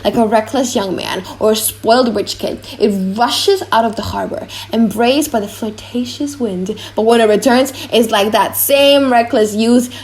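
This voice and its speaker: A woman playing a witty young gentleman in an audiobook drama, theatrical and mocking. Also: on the high side, moderate pace, few pauses, close to an everyday voice. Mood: amused, theatrical.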